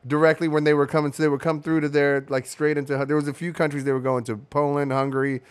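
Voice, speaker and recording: A man's voice: a little high, flat delivery, fast, smooth, dry recording. A man who grew up in Ukraine, with Russian as his first language, chatting casually on a podcast in a small studio. He rambles, swears often and restarts his sentences. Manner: rambling, profane, many restarts. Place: small studio with red walls. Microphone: studio mic on boom arm.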